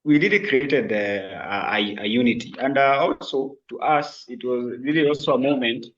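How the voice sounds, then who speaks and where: Young guy telling his story, lots of uh and you know, muffled far-off mic, mid pitch. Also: moderate pace. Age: young adult. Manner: hesitant, with frequent fillers and restarts. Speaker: guest sharing an experience. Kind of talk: casual spoken testimony. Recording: muffled sound from a distant mic.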